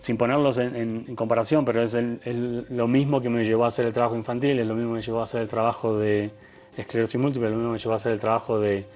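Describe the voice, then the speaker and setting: A man from Argentina with a softened Argentine accent, speaking as a photographer guest in a conversational interview, thoughtful and full of restarts. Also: mid-range voice, flat delivery, racing, soft background music. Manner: thoughtful, many restarts and tag questions.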